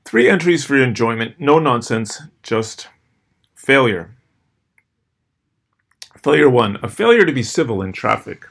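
A middle-aged man reading scripted personal stories as host, with a dry, wry, measured delivery and deliberate pauses. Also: mid-range voice, animated, a bit bright. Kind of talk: scripted first-person storytelling.

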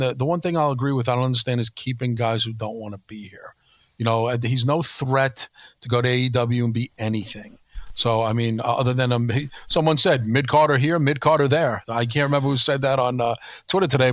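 A man's voice: mid-range voice, fast, no echo. A man co-hosting a wrestling podcast in casual, opinionated chat, emphatic and repeating words when worked up.

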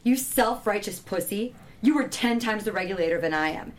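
A woman's voice: mid-range voice, animated, normal speed, natural voice. A woman playing a cocky, hostile teenage surfer boy at a table read, with taunting, slangy delivery. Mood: hostile, accusing.

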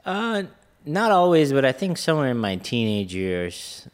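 A man's voice: mid-range voice, big pitch swings, moderate pace, big-room sound. A man in his late thirties, born in India and raised in Ghana, earnestly telling his life story as a podcast guest. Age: late 30s. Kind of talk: casual interview storytelling.

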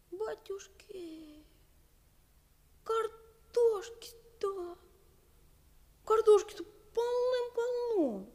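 Radio play role: whiny seven-year-old village orphan boy, speech, very high and unhurried. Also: animated, darkish tone, exaggerated voice. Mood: dismayed, self-pitying. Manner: plaintive, childlike, drawn-out pleading.

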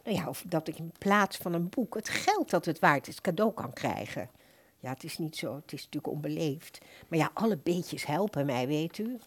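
A woman's voice: low-pitched, lively intonation, moderate pace, slightly rough. An actress playing an older, thrifty and easily puzzled woman customer in a radio play, polite, hesitant and rambling. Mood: hesitant, apologetic.

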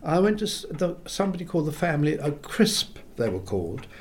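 An older man, born in England, reminiscing in an interview as a guest composer, rambling and animated, acting out quoted voices. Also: a little high, fairly quick, slight background noise.

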